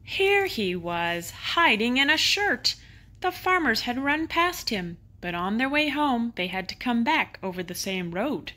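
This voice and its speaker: A woman reads a children's story aloud as a bedtime narrator, expressively, with dramatic emphasis and drawn-out key words. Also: on the low side, sharp tone. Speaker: storybook reader.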